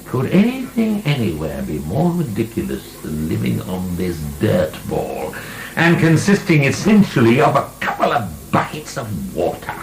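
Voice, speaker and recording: An actor playing an imprisoned French marquis in a radio drama, an imperious, mocking, obscene libertine with a theatrical, grandiose delivery. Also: male voice, mid-range voice, huge pitch swings, normal speed, gravelly, a little hiss or hum. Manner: theatrical, grandiose, chuckling, swinging into shouts. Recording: echoey stone-room radio drama.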